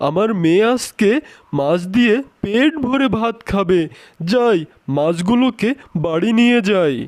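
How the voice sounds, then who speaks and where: A man's voice: high-pitched, expressive, measured pace, darkish tone. A man voicing a doting, teasing, poor fisherman father in a cartoon. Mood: pleased. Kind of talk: cartoon character dialogue.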